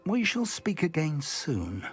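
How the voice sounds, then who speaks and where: An encouraging wizard mentor with a lively, wide-ranging voice. He draws out his formal phrases in game dialogue, with soft music behind. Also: on the high side, takes it slowly, exaggerated voice. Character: encouraging, deliberate male teacher mentor wizard. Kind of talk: video game cutscene acting.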